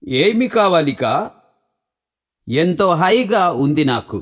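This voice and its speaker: A man voicing a self-satisfied, hard-working bear in a children's animated fable, musing aloud in drawn-out phrases. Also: a little high. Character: hard-working bear proud of his honey hoard. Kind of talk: animated children's story acting.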